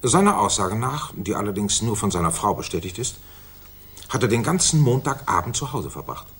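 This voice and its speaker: An actor in a 1960s German radio drama playing a self-satisfied, authoritative senior government official who speaks formally and deliberately. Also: male voice, on the low side, big pitch swings, fairly bright tone, husky, heavily acted. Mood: matter-of-fact.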